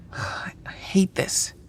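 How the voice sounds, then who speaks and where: A woman's voice: extremely slow, whispered. A woman playing a frustrated, self-doubting but stubborn writer in an audio drama. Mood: exasperated, reluctant. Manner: exasperated, hesitant.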